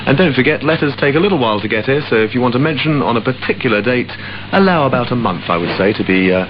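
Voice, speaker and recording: A man's voice: mid-range voice, animated, fast talker, noisy background. Laid-back man DJ on dull AM radio. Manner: easygoing, gentle, chatty with small hesitations. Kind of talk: relaxed late-evening radio presenting. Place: offshore radio ship studio. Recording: dull AM radio aircheck with music under.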